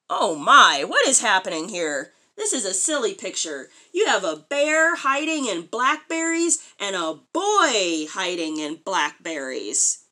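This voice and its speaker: Female picture-book reader, thirties: higher-pitched, very animated intonation, expressive child-directed delivery. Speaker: storyteller reading a children's picture book aloud. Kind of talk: read-aloud to a young child, with questions and commentary. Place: small furnished room indoors.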